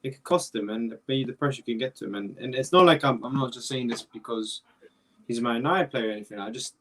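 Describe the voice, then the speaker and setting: A man's voice: mid-range voice, quick pace, fairly bright tone, far from the mic, poor audio. Young man rambling, a bit off-mic. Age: young adult. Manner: rambling, repeats himself while thinking. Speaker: guest. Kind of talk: casual football chat.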